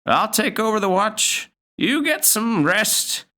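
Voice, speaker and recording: Man putting on a shrill, confused old granny. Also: big pitch swings, slow, bright, forceful tone, full character voice. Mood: reassured, dutiful. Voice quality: shrill falsetto. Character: confused old grandmother, sleepy war-obsessed sentry.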